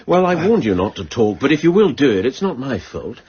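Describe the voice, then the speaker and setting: An actor playing a brisk, exasperated English police inspector in a radio drama, with a hearty, matter-of-fact manner. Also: male voice, medium pitch, very animated, darkish tone, breathy edge. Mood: brisk, wry. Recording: dull radio recording.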